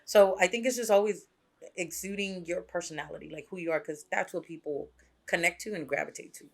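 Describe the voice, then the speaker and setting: A man from Mexico, a DJ guest, talking candidly in a relaxed interview and often checking in with "right?". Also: high-pitched, brisk, vocal fry. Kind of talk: casual interview talk.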